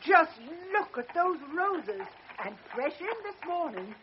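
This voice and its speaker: A woman's voice: sits high, very animated, unhurried. An actress playing a bustling, obliging woman who sells flowers at a market, in a radio drama. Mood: brisk. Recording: dull old radio broadcast, faint hiss.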